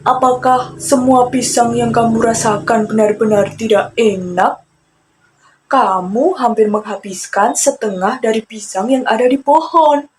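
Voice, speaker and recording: A woman voicing a wronged, resolute male turtle who speaks deliberately and reproachfully in a children's fable. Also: a little high, a little hiss or hum, natural voice, low-quality recording. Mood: doubtful. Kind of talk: children's storytelling character voice.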